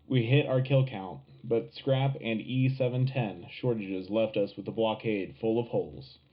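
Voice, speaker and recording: A man's voice: lowish voice, measured pace, natural voice. A man playing a patriotic wartime radio host, delivering scripted news in a dramatic, emphatic announcer style. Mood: urgent, resolute. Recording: tinny narrow-band radio sound, faint music.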